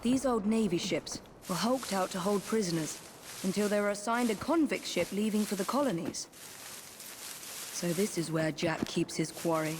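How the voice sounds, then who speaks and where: A woman's voice: medium pitch, moderate pace, noisy background, natural voice. An actress playing a brave, purposeful Victorian woman assassin in a video game, speaking politely with an English accent. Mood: determined. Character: brave, composed female Victorian assassin on a rescue. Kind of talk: video game character acting.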